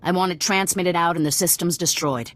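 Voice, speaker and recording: A very low-pitched female guerrilla commander, acted by a woman for a video game. She is blunt and fanatical, giving orders in clipped phrases with an open threat underneath. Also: a little fast, fairly bright tone, close to an everyday voice. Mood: hard, businesslike. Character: hard-bitten fanatical female rebel cell commander.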